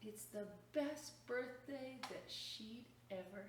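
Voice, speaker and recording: A woman reading a children's picture book aloud as a storytime reader, expressive and exclaiming, pausing to point out the pictures to children. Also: a little high. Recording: roomy echo, distant mic, faint noise.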